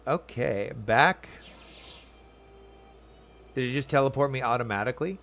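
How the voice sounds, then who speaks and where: A man's voice: mid-range voice, lively intonation, a little slow, a bit bright, a little hiss or hum. Casual man commenting on a video game. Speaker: streamer playing a video game.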